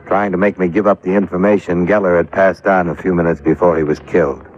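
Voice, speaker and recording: An actor playing a wry, stubborn American insurance investigator in a clipped 1950s radio detective style. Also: male voice, sits low, little pitch movement, fast, natural voice. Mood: narrating, weary. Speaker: lead actor and narrator. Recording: muffled, noisy old radio recording.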